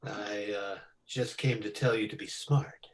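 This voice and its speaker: A man's voice: on the low side, fairly even intonation, measured pace, close to an everyday voice. An actor playing a big, menacing gunman in a radio crime drama, talking in terse, cocky slang. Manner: terse threats, tough slangy talk. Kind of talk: 1940s radio detective drama.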